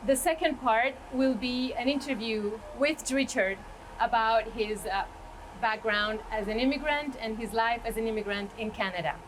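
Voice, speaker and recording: A woman from Colombia, hosting an online cooking show and introducing it in a welcoming, slightly halting way. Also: on the high side, noisy background. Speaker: host.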